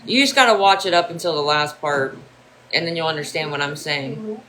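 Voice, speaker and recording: Bright-voiced rambling livestreamer from Ohio. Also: mid-range voice, animated, a little fast, slight background noise, poor audio. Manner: rambling, repetitive, swears freely. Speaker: livestreamer answering chat. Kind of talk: casual livestream chat.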